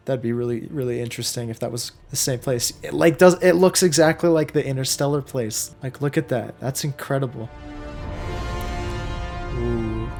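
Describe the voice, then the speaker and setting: Hoarse, chatty young man reacting to a film. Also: medium pitch. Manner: chatty, excitable, lots of fillers and laughs. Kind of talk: casual movie reaction commentary.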